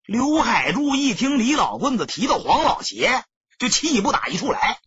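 A man with a raspy voice narrating a novel as a dramatic audiobook reader. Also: extremely high pitch, very animated, normal speed, fairly bright tone, highs cut off. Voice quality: husky, raspy.

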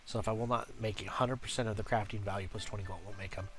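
Brief: low-voiced man, congested from a cold, hesitant tutorial delivery, music underneath. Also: steady tone, a bit bright. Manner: halting, many uhs and restarts. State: stuffy from a cold. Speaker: game guide creator. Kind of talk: casual screen-recorded tutorial.

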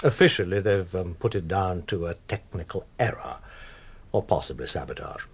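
An actor playing a grave, guarded official in a radio drama, formal and measured with halting pauses. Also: male voice, low-pitched, animated, breathy edge, heavily acted. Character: grave, guarded British official delivering secret intelligence.

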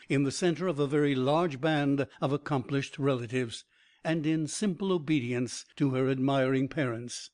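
A man reading a biography aloud as an audiobook narrator, in an even, measured way. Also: on the high side.

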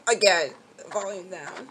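A woman giving casual let's-play commentary, excitable and chatty, talking straight to the game characters and repeating herself. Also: medium pitch, slight background noise, poor audio. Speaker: gameplay commentator. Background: game music.

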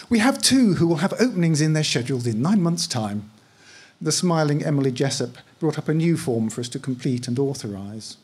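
A middle-aged man with a husky voice, reading a first-person short story aloud in a conversational, wry way. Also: mid-range voice. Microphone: microphone on stand, close.

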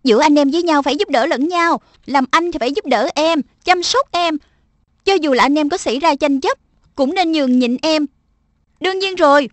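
A woman's voice: high voice, no room sound. A woman narrating a children's cartoon, addressing the kids in a teacherly way. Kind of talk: children's moral wrap-up narration.

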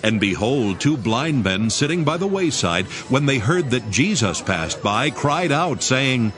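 A man narrating scripture from a script in a measured, formal manner. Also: mid-range voice, sing-song, crowd noise.

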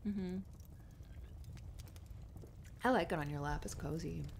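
A woman acting as a loving, reassuring writer girlfriend in an intimate ASMR roleplay. Her voice sits fairly low with plenty of vocal fry, soft and giggly, slipping into breathy murmurs. A faint fireplace crackle runs behind her. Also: takes it slowly, heavily acted. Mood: affectionate, teasing. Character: affectionate, playful, reassuring successful writer girlfriend. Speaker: audio roleplay performer. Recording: noisy fireplace ambience behind voice.

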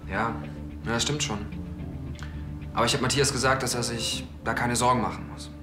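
An actor playing an earnest brewery employee, halting but insistent, in a television crime comedy. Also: male voice, mid-range voice, fairly even intonation, reverberant, over music, close to an everyday voice. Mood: earnest, defensive. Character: earnest male brewery employee defending colleague.

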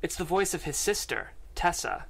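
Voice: medium pitch, sing-song, normal speed, vocal fry, a little hiss or hum. A narrator reading out screenplay stage directions at a table read in a podcast studio. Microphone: studio microphone and headphones.

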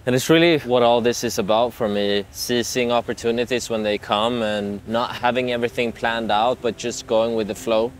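A man in his twenties from Sweden, talking casually to the camera outdoors on a travel vlog, relaxed and reflective. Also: lowish voice. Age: 20s. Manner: relaxed and reflective, with loose fillers like "like" and "yeah". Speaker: vlogger. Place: outdoors in nature. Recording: music playing underneath.

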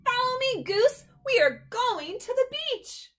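A woman voicing an eager, excitable male duck in a picture book read-aloud. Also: takes it slowly, heavily acted. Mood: excited. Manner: excited, high-pitched.

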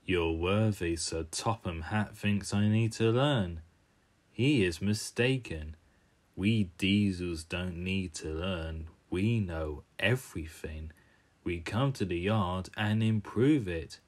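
Man voicing a slow, low, sly cartoon diesel engine. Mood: smug, scheming. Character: sly, smug, oily villainous male diesel engine. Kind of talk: amateur cartoon character voice reel.

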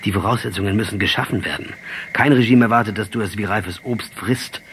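Guy acting rebel commander, sardonic and defiant, lowish voice, radio drama with some background noise. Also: a little fast, a bit bright, lightly acted. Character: defiant, sardonic Argentine revolutionary leader, adult man.

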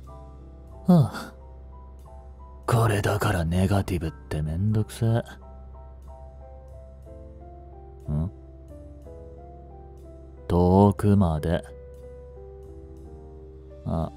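Audio drama role: languid young tough guy voiced by a man, low-pitched with swooping intonation, gruff, teasing childhood friend. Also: mellow, heavily acted. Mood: weary, gentle. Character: languid, blunt young male delinquent, protective childhood friend. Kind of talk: intimate Japanese audio drama acting.

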